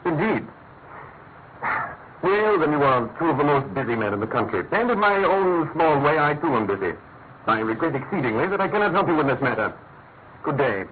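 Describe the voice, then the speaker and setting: An actor playing a cool, incisive British gentleman detective in a classic radio drama, with clipped, probing, courteous delivery. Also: a little high, big pitch swings, a little fast, pauses often. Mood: coolly firm. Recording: thin narrow-band old radio recording, faint noise.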